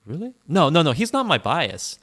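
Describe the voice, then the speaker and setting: A man's voice: a little high, very animated, normal speed, fairly bright tone. A man co-hosting a casual podcast chat, opinionated and rambling, often restarting his sentences. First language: English. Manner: opinionated, rambling, repeats himself, frequent restarts. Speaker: podcast co-host.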